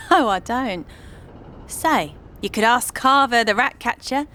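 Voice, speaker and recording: Woman playing a slow, high-voiced slum scavenger. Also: animated, pushed and bright, exaggerated voice. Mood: sly, amused. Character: cagey, amused slum scavenger. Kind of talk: fantasy audio drama character acting.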